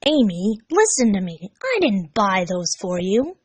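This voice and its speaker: A woman's voice: mid-range voice, sing-song, unhurried, husky, no room sound. Grumpy but caring young hedgehog guy for a storybook reading, blunt and earnest, read by a woman. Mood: flat, annoyed.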